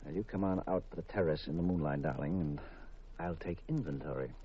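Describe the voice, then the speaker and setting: An actor playing a suave, wisecracking detective husband with a husky voice, in 1950s radio style on an old recording. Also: male voice, a bass voice, fairly quick, highs cut off, noisy background. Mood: teasing, suave.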